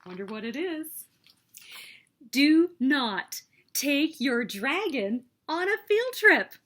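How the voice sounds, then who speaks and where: A woman reading a children's picture book aloud as story host, with expressive, emphatic delivery. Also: sits high, takes it slowly. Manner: expressive, emphatic storytelling with sing-song refrains.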